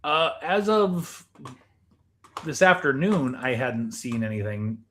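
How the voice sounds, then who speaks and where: A man hosting a podcast in a casual conversational style, deliberate and questioning as he steers the talk. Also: medium pitch, sing-song, fairly bright tone, a little hiss or hum. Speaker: podcast host.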